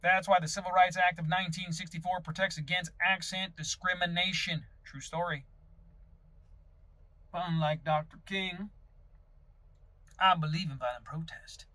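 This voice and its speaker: A man's voice: sits high, fairly even intonation, moderate pace, pauses often, pushed and bright, no room sound, rough recording. A man playing a folksy, vain, aggrieved Southern US congressional candidate in a mock campaign video, with a pompous theatrical drawl. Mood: righteous, defiant. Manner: theatrical drawl, pompous, dropping into breathy seductive asides. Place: inside a parked car.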